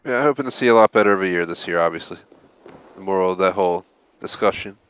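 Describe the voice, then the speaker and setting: A man with a very low voice talks loosely to his viewers while he plays, filling the gaps with "uh" and "you know." A faint hiss sits under the recording. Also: fast talker, a bit bright, poor audio. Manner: rambling, frequent fillers. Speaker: game streamer host. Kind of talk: casual live-stream chat while gaming.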